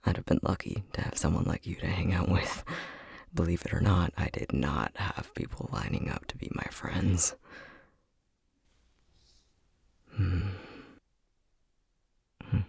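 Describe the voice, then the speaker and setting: A man playing a flustered, tender young boyfriend in an ASMR roleplay, soft-spoken and breathy, hesitating and stammering as he talks. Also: deep voice, normal speed, no room sound, full character voice. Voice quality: breathy, husky. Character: flustered, tender young boyfriend newly discovering magic powers.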